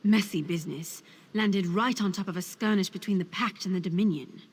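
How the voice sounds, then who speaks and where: An actor playing a gossipy townsperson in a fantasy video game, with a husky voice. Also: mid-range voice, a little fast, lightly acted. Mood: matter-of-fact. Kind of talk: video game background character dialogue.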